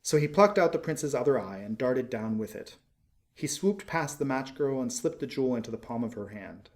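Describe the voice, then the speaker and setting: Measured male storybook narrator. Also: mid-range voice, animated. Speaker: audiobook narrator. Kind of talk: expressive literary storybook narration.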